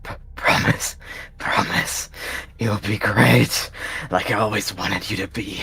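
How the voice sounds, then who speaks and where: A man's voice: on the low side, steady tone, slow, gravelly, pushed and bright, husky, exaggerated voice. A man voicing a poisoned, manic warrior cat mentor in a roleplay, choking, gasping and stammering through his words. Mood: fading, pleading. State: choking, dying.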